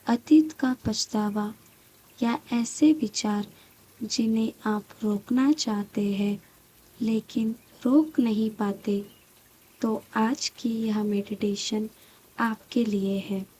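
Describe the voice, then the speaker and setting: A woman guiding a scripted meditation in a soft, breathy voice, calm and soothing, with long pauses between short phrases. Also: a little high, poor audio. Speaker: meditation guide. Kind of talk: slow guided meditation, scripted.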